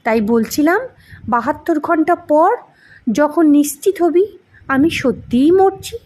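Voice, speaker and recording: A woman acts out an elderly village grandmother for an audio story. She is earnest and plaintive, with a high, slow voice and homely, colloquial phrasing. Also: expressive, darkish tone, low-quality recording. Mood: earnest, fearful. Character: frail, earnest 83-year-old village grandmother.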